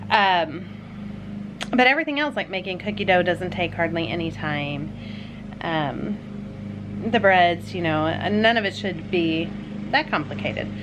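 A woman's voice: on the low side, background noise. A woman in her thirties, a family vlogger, giving a casual, step-by-step cooking tutorial in a chatty, upbeat way. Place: living room, then kitchen.

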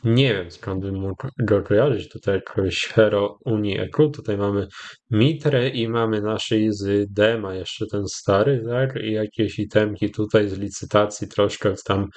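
A Polish man hosting a gaming channel, giving casual, rambling commentary with hesitant, drawn-out pauses. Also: fairly low pitch, a little mellow. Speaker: YouTube gaming host. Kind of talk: casual commentary over gameplay.

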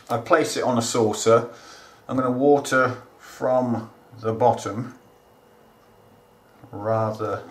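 A man, hosting a casual gardening how-to, chatting plainly and talking straight to his viewers at home. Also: mid-range voice. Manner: chatty, plain-spoken, talks to viewers directly. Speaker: gardening channel host. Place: indoors at home, kitchen counter.

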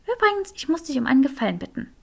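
A clever young witch in an audiobook story, acted out by a woman narrator. She is pitched high, curt and stubborn, with a snappish, exasperated edge. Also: very animated, rapid-fire, vocal fry, exaggerated voice. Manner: curt, sharp-tongued.

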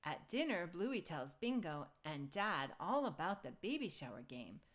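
A woman reading a children's picture book aloud in an expressive storytelling style. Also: fairly low pitch, measured pace.

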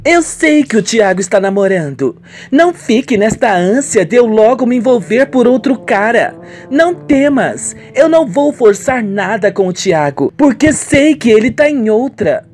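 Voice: medium pitch, big pitch swings, normal speed, a little mellow, faint music behind, voice pushed up, lightly acted. A performer voicing an arrogant, affected young rich daughter in a radio soap, haughty and emphatic. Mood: defensive, insincere.

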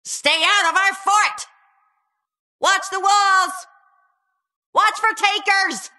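A lovestruck warrior heroine from a video game. Her voice is high and bright, and she takes her time over short, dramatic lines. The delivery is playful and flirty. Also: steady tone, takes it slowly, full character voice. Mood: urgent, alarmed. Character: playful, lovestruck winged female warrior hero.

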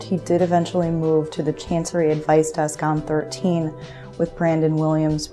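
Low-voiced woman praising a volunteer. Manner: warm, measured praise. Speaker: pro bono coordinator. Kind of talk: interview to camera. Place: indoor office.

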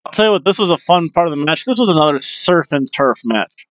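A man's voice: a little high, animated, fast, no echo. Man, forties, chatty opinionated recap host, headset mic, dry dull home sound. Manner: chatty and opinionated, bursts into loud mock booing. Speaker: wrestling review host. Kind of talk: casual recap commentary. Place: small home room with bookshelves, indoors. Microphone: headset mic on video webcam.

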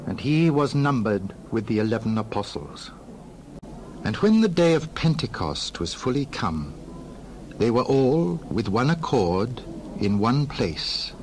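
A man narrating a dramatized Bible reading in a solemn, measured way. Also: mid-range voice, a little mellow, a little hiss or hum, low-quality recording.

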